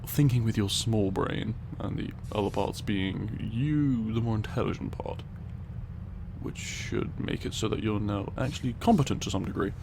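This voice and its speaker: A man's voice: lowish voice, creaky, noisy background. A male voice actor playing a grumpy, superior lab scientist in an ASMR audio roleplay, dry and curt.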